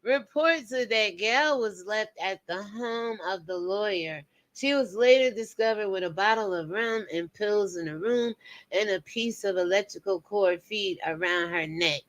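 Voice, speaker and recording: A woman's voice: medium pitch, measured pace. A woman in her fifties hosting a casual commentary show, chatting to viewers with preachy asides. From United States. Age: 50s.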